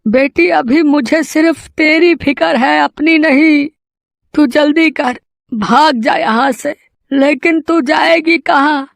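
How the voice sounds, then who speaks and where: A woman's voice: sits high, gravelly, dry recording, clean studio sound, pitched above the performer's own voice, heavily acted. A woman voicing an anxious poor farmer, a pleading father in a cartoon moral story. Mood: worried. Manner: pleading, worried, drawn-out.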